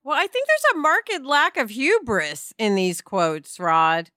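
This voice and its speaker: A woman's voice: a little high, expressive, a little slow, sharp tone, dry recording, studio quality. A woman co-hosting a podcast in casual chat, playful and jokey as she leads the talk. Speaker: podcast co-host.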